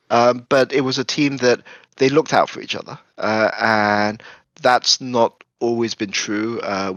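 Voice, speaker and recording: A man's voice: medium pitch, a bit bright, no room sound, lo-fi sound. A man being interviewed as an esports coach, reminiscing conversationally and often circling back to correct himself.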